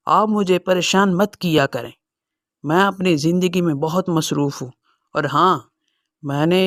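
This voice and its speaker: Man playing a selfish young son, higher-pitched. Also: dry recording, clean studio sound, lightly acted. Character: selfish, impatient young adult son, dismissive of parents. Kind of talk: dramatized moral story for cartoon.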